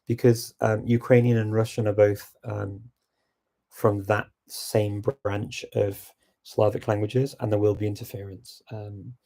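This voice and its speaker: A man hosting a casual live Q&A, answering viewer questions with relaxed, reflective advice. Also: on the low side, unhurried, creaky.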